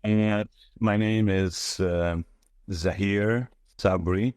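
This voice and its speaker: A man from the Middle East, chatting casually as a guest in halting English. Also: on the low side, fairly even intonation, darkish tone, clean tone, dry recording, clean studio sound. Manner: halting non-native English with frequent "you know". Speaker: interview guest. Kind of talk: casual chat. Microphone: mobile device.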